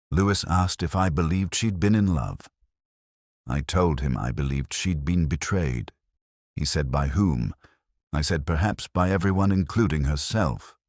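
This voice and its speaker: Male narrator for a moody detective story, with a very deep voice that rises and falls expressively and a dry, close sound. Brooding and deliberate delivery. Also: no room sound. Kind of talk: first-person scripted mystery narration.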